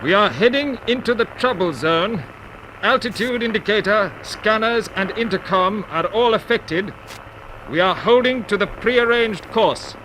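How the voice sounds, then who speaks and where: Male spaceship captain, vintage radio serial. Higher voice, calm authority, clipped orders, noisy old recording. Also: a little slow, heavily acted. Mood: brisk, businesslike. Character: calm, decisive male spaceship commander, informal with his crew. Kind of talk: 1950s radio science fiction acting.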